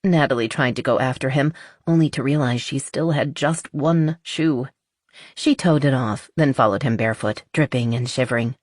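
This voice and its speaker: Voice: medium pitch, moderate pace, dry recording, studio quality. A narrator reading a scripted romance audiobook.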